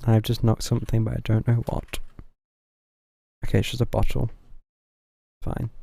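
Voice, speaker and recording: A man giving casual live commentary as he streams a game, low-key and musing. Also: completely flat delivery, moderate pace, creaky, dark tone, no echo.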